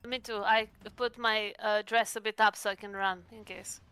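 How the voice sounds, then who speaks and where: A woman's voice: mid-range voice, flat delivery, moderate pace, bright, forceful tone, clean tone. A woman playing a tabletop roleplaying game, talking through her moves in casual chat.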